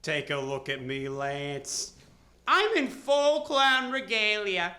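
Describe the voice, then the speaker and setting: A woman's voice: medium pitch, big pitch swings, slow pace, pushed and bright, heavily acted. A woman playing a sly, theatrical carnival clown with arch, feigned innocence in an old-time radio play. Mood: defensive. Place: live theatre stage.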